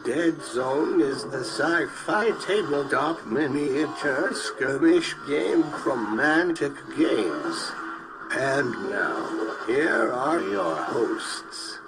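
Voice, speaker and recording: A man giving a pompous, deliberate podcast introduction in the style of an old-time radio announcer. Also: fairly high pitch, animated, loud music behind the voice, low-quality recording.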